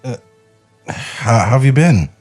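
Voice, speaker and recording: A man's voice: mid-range voice, expressive, unhurried, darkish tone, soft background music. A man playing a nervous, sheepish young studio artist in a comedy table read, stammering and apologetic. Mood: awkward, flustered.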